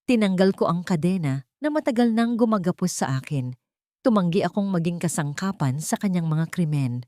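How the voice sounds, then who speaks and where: A woman's voice: on the low side, a little mellow, dry recording, clean studio sound. A woman reading a dramatic scripted story as its narrator.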